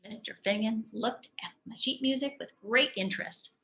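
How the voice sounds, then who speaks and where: A woman teacher reading a children's storybook aloud expressively, speaking to young listeners in an animated, demonstrative way. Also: fairly high pitch, moderate pace, slight background noise.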